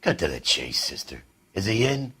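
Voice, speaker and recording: An actor playing a gruff, sarcastic middle-aged police detective in a crime video game, blunt and world-weary. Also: male voice, deep voice, sing-song, moderate pace, sharp tone, heavily acted. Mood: impatient. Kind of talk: dramatic video game acting.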